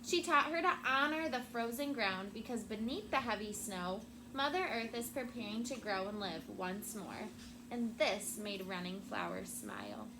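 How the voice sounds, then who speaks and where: Woman, schoolteacher, storybook read-aloud, expressive deliberate phrasing, middle pitch, clearly noisy classroom recording. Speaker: elementary school teacher. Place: indoor classroom with children.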